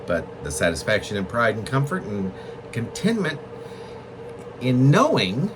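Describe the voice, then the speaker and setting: A man reading his own literary poem as a reflective monologue, in halting, deliberate phrases with pauses mid-thought. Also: mid-range voice, huge pitch swings, noisy background. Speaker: poet reading own work.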